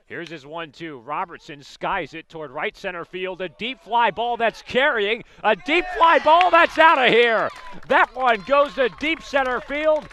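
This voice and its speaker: A man's voice: very high-pitched, a little slow, hardly any pauses, sharp tone. A man calling baseball play-by-play for radio in clipped, descriptive phrases as the game unfolds. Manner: clipped descriptive calls of the action. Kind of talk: live radio sports commentary.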